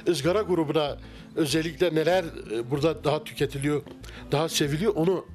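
A middle-aged man, a restaurant owner, answering interview questions in a relaxed, halting way with many fillers and restarts, inside a wooden-walled restaurant. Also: a little high, soft background music. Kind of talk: casual interview. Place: wood-paneled restaurant interior. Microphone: handheld mic held by interviewer.

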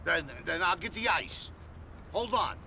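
High, bright, stammering nervous cook. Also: male voice, gravelly, a little hiss or hum, heavily acted. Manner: hesitant, stammering, halting. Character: nervous, stammering ship's cook selling smuggled diamonds. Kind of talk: video game cutscene acting.

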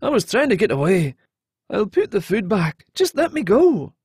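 A man's voice: sits high, big pitch swings, normal speed, darkish tone, slightly rough, no room sound, studio quality, voice pushed up, heavily acted. A man voicing a frightened, remorseful male deserter in an audiobook, earnest and pleading.

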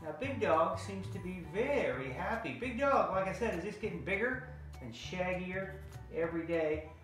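A man's voice: sits high, lively intonation, moderate pace, a bit bright. A middle-aged American man giving a casual, playful drawing tutorial for kids, chatting as he draws and stretching out some words. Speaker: children's drawing teacher. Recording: very echoey room, faint music.

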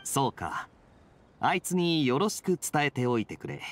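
A man voicing a relaxed, playful young male knight in an anime game, speaking smoothly and casually. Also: mid-range voice, unhurried, very noisy. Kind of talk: Japanese anime game voice acting.

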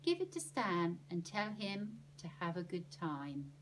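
A woman's voice: on the low side, big pitch swings, a little slow, a little hiss or hum, rough recording. A woman voicing a bossy, no-nonsense aunt with a firm, commanding manner. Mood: brisk. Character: bossy, no-nonsense aunt who lays down rules.

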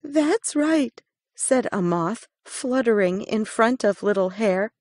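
A woman reading a children's picture book aloud, slow and expressive. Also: mid-range voice, no echo, studio quality. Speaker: reader.

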